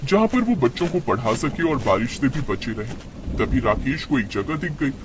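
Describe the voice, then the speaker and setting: A man's voice: mid-range voice, very animated, moderate pace, noisy background. A man narrating a scripted moral story as its storyteller.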